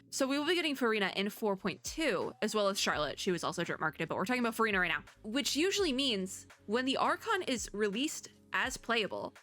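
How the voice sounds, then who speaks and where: Young female VTuber for casual reactions. Bright, very expressive intonation, informal and chatty, music behind. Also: mid-range voice. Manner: chatty, informal, thinking aloud. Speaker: VTuber reacting to game trailers. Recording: music in the background.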